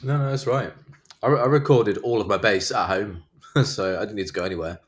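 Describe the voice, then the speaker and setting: A man, a band's bassist, answering interview questions in a relaxed, matter-of-fact way. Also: brisk. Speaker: band bassist.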